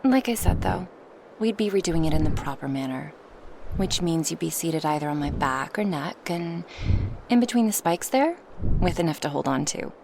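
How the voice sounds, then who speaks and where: A woman's voice: deep voice, expressive, moderate pace, gravelly, background noise. A woman voicing a huge, proud dragoness in an ASMR roleplay, speaking formally to her human, teasing yet protective, at times whispery. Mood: eager, explaining. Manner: slightly formal phrasing, teasing, reassuring. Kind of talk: ASMR roleplay monologue addressed to the listener.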